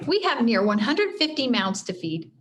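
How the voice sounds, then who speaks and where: A woman reading the part of an earnest, practical young pilgrim woman in a storybook, with expressive, old-fashioned formal phrasing. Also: fairly high pitch, normal speed, voice pushed up. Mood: brisk, friendly.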